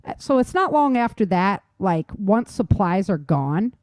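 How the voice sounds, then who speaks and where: A woman's voice: mid-range voice, big pitch swings, measured pace, darkish tone, dry recording. A woman hosting a talk show in casual chat, telling things informally with slangy emphasis and repetition. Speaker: talk show host, author. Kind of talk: casual chat on survival tips.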